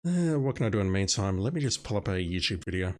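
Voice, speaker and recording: Low-voiced man chatting over gameplay. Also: fast. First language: Russian. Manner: relaxed, musing, chatty. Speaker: streamer. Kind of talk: casual gameplay commentary.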